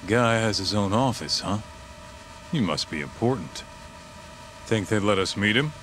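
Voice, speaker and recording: An actor playing a cocky, wisecracking streetwise sidekick in a video game, talking in casual, slangy, foul-mouthed style. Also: male voice, lowish voice, animated, measured pace, a bit bright, slight background noise, low-quality recording. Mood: curious, excited. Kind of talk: video game cutscene acting.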